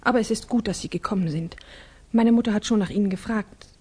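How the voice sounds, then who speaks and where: A woman's voice: medium pitch, quick pace, darkish tone, slightly rough, close to an everyday voice. An actress playing an earnest, worried young Russian woman of good family in a 1960s German radio drama, grave and formal.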